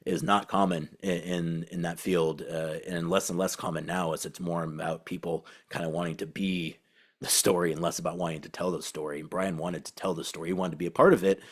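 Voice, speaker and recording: A man hosting a team podcast, speaking casually and haltingly as he thinks aloud in a tribute to a colleague. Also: sits low, little pitch movement, breathy edge. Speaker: podcast host and team beat reporter.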